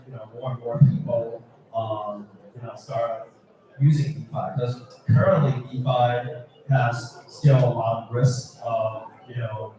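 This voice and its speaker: A man from Taiwan speaking as a panelist in an unscripted discussion, halting, repeating words and often saying "you know". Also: medium pitch, dark tone. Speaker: panelist, crypto video creator. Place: event hall panel with audience. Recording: very echoey hall, distant mic, crowd murmur.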